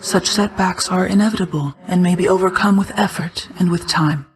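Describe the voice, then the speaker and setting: A woman playing a calm, motherly goddess of night in a video game, speaking in a measured, formal and stately manner. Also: lowish voice, crowd noise, close to an everyday voice. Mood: composed, encouraging. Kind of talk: scripted video game character lines.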